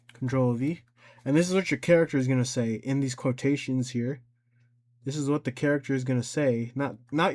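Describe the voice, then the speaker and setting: A man with a medium-pitched voice hosts a casual software tutorial. He explains things step by step in an informal, unscripted way, often thinking aloud as he works. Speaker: tutorial host.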